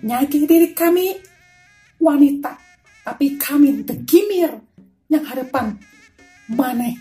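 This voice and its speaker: A woman performs a proud young warrior maiden in a storybook tale. Her high voice moves very slowly and deliberately, full of defiance, over faint music. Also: animated, warm tone. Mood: defiant.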